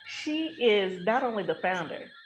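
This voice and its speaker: A woman's voice: mid-range voice, expressive, a little slow. An American woman hosting a casual, unscripted podcast in a playful, emphatic, colloquial way. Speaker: podcast host. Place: hotel with people passing in the background. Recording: clearly noisy podcast audio with ringing.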